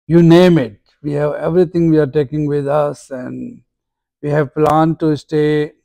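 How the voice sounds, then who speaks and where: A man's voice: a little high, flat delivery, unhurried, creaky, mellow, no echo. A man casually narrating a family camping trip vlog, filmed on a phone from a car and at a campsite. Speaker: vlogger.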